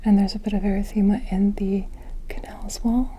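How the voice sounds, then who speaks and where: A woman whispering softly and breathily in an ASMR medical roleplay, gently and carefully narrating an examination like a clinician. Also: mid-range voice, fairly even intonation, fairly quick, husky.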